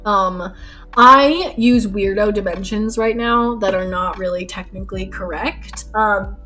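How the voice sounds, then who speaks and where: A woman's voice: mid-range voice, measured pace. A young woman streaming live while she paints, chatting casually with lots of fillers, her nose stuffed up. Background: soft lo-fi music.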